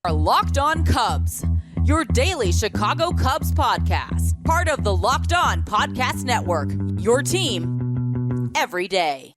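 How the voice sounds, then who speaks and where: A woman announces a scripted podcast intro with hugely animated intonation and a slow, deliberate pace. Loud guitar music plays behind her voice. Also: mid-range voice.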